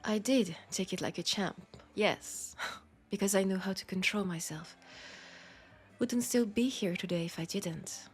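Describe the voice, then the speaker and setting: A woman with a French accent voicing a centuries-old, wry and world-weary female vampire in an intimate audio roleplay, softly and breathily. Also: medium pitch, unhurried, soft background music. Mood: wry, scolding. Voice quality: breathy, soft, slipping into whispers.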